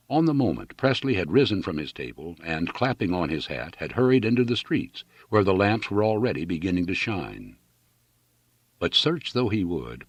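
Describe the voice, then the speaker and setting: A man's voice: low voice, breathy edge, no room sound. A man reading a literary novel aloud as an audiobook narrator, in a dramatic storytelling style.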